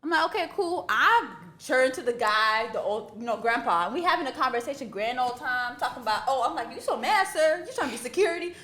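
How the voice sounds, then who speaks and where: A woman's voice: high voice, expressive, fast talker, hardly any pauses, pushed and bright. A young woman from Oregon co-hosting a podcast, telling a story in a laid-back, casual way and swearing freely. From Oregon, USA. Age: young adult. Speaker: podcast co-host.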